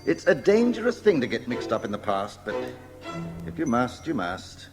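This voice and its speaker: An actor playing a mysterious, cautioning man who can send others through time, with a measured, ominous delivery in an audio drama. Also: medium pitch, wildly swooping pitch. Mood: grave warning. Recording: music under the voice.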